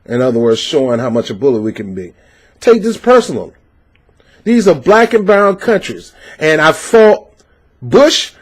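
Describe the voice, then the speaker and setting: A man's voice: fairly high pitch, big pitch swings, normal speed. A man giving opinionated political commentary, reading out a news article and reacting to it with passionate, preachy emphasis and frequent fillers. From United States.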